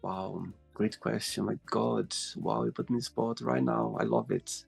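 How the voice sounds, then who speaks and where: A man with a Brazilian accent hosts a relaxed interview, his voice gravelly and a little husky. He gushes with enthusiasm and pads his sentences with "you know." Faint music plays underneath. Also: on the low side, measured pace. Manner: effusive, many "you know" fillers. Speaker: host. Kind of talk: casual interview.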